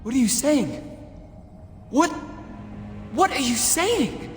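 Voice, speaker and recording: A shaken young man from a video game, cries out in a very high, strained voice. His words come slowly and in disbelief. A long reverb trails the voice, with music underneath. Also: big pitch swings, exaggerated voice. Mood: distraught disbelief. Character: distraught young male, shaken by revelations. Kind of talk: scripted video game acting.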